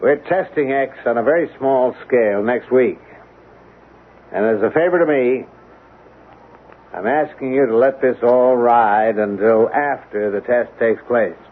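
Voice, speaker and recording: An actor playing a calmly stubborn, evasive colonel in a 1970s radio drama, measured and officious. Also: male voice, mid-range voice, natural voice. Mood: placating. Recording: narrow-band, hissy 1970s radio recording.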